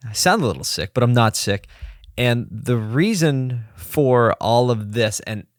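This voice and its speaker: A man hosting a solo podcast from his office desk, slightly hoarse and low on energy, talking in a rambling, candid way and pepping himself up as he goes. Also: animated, measured pace, no echo, studio quality. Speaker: podcast host. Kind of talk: casual solo podcast talk.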